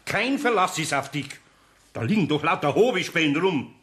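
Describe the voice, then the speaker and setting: A man playing a gruff older master carpenter with a Bavarian accent, scolding and blustering in a German children's radio play. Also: fairly high pitch, expressive, moderate pace, a bit bright, echoey room. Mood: furious.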